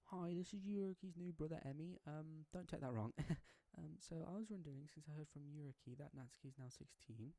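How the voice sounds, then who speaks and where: Awkward soft-spoken young brother, hesitant, man reading. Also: fairly high pitch, a little fast, hardly any pauses, no echo, voice pushed up, close to an everyday voice.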